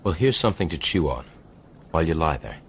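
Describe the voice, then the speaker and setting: An actor playing a guarded, unyielding gentleman turned jailer in a radio drama, terse and firm. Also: extremely low pitch, very animated, quick pace, slight background noise, heavily acted. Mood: cold, defiant.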